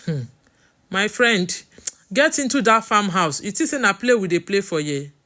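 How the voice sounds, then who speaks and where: A woman's voice: medium pitch, animated, normal speed, bright, forceful tone. A woman voicing a stern, bullying male farm owner in a storytelling, with a Nigerian accent and a scolding manner in Pidgin. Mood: scornful, angry.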